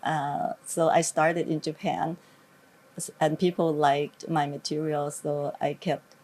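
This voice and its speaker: Middle aged thai lady w lao as first language, low voice, chatting in an interview, thai accent. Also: flat delivery, takes it slowly, darkish tone, low-quality recording. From northeast Thailand. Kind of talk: conversational interview answers.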